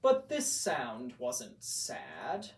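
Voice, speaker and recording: A man reading a rhyming storybook aloud in a measured, theatrical, vintage horror-actor style. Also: mid-range voice, huge pitch swings, a little hiss or hum. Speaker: storybook narrator. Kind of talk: dramatic read-aloud imitating vintage horror-actor narration. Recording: roomy echo, distant from microphone.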